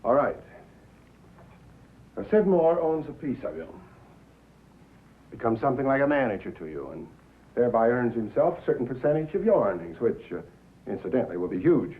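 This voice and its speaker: An actor playing a scheming, paternal network president in a 1950s film drama, condescending, with deliberate, hesitant pauses. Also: male voice, mid-range voice, big pitch swings, narrow, tinny audio, natural voice. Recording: thin, boxy old film soundtrack.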